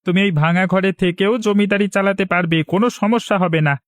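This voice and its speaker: A man's voice: high voice, fairly even intonation, fast, dry recording, close to an everyday voice. A man voicing a dutiful, respectful young adult son and heir in a moral cartoon. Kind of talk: Bengali moral cartoon voice acting.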